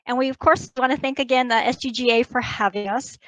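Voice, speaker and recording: A woman's voice: high voice, steady tone, fast, fairly bright tone, dry recording, poor audio. Upbeat woman giving a keynote. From United States and Taiwan. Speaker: company HR executive presenting a keynote. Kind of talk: prepared conference presentation.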